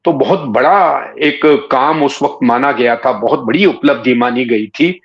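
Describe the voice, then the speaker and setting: Man in his sixties, muffled recording. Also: mid-range voice. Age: 60s. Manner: unhurried storytelling with frequent hesitant pauses. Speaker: interview guest, writer and former cricket commentator. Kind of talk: reminiscing in a conversational interview. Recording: dull, muffled lo-fi audio.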